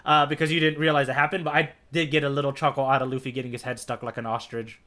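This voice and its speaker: A man's voice: medium pitch, little pitch movement, quick pace, bright, forceful tone. A man co-hosting a podcast, talking about manga in an informal, enthusiastic way. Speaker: podcast co-host. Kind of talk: casual chat about manga.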